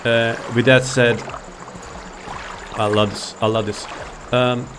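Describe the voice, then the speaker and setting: A man's voice: mid-range voice, little pitch movement, slow pace, noisy background. A man giving casual, rambling gameplay commentary, laid-back and thinking aloud. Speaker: gaming video creator.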